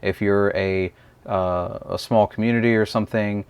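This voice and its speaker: Male reviewer, low voice, hesitant filler-heavy delivery, dry close-miked sound. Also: steady tone, moderate pace, clear, resonant tone, no room sound. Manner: hesitant, many ums and uhs, thinks aloud. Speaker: product reviewer. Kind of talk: casual unscripted product demo.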